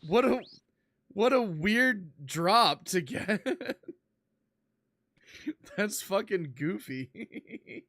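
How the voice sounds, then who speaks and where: A man streaming a game live, chatting casually and swearing, his voice hoarse from losing it. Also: high voice, extremely slow, pauses often, no room sound, studio quality. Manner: excitable, swears, laughs in disbelief. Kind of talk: casual live-stream chat while gaming.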